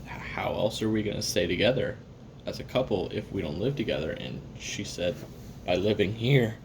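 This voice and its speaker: A man's voice: expressive, normal speed, low-quality recording. A man voicing a jaded, hard-drinking assassin recording his memoirs in a wry, profane, self-deprecating, rambling confession for an audio roleplay. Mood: subdued, reflective. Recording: audible hiss and low rumble.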